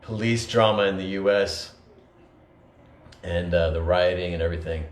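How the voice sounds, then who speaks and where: A deep-voiced man talks things through in an earnest, halting way, full of fillers and restarts. His voice echoes around the room, with a faint hiss underneath. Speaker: podcast co-host. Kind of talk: reflective conversational talk.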